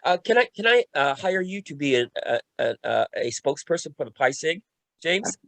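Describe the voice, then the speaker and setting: A man talking casually as a participant and organizer in a panel discussion on a video call, often halting and restarting his words. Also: on the high side, very animated, gravelly, fairly bright tone, no echo.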